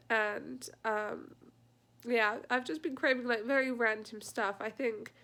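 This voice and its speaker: A young woman with a fairly high voice that sounds stuffed up from congestion. She talks in a chatty, informal vlog style, like a casual personal update. Her delivery is unscripted and lighthearted about her own complaints. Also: vocal fry. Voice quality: congested, blocked-nose sound. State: congested with a sore throat. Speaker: vlogger.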